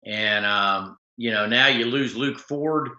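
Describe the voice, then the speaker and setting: A man hosting a casual sports talk stream, rambling with many fillers and restarts, his voice slightly rough. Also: mid-range voice, takes it slowly, pushed and bright, echoey room, muffled recording. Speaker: sports talk show host. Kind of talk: casual live-stream commentary reading viewer comments.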